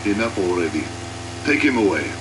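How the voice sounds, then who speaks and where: An actor playing a stern, weary military officer who speaks in a measured, grave way in a video game cutscene, with music behind him. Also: male voice, on the low side, very animated, background noise. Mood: curt, impatient. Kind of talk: dramatic game cutscene monologue.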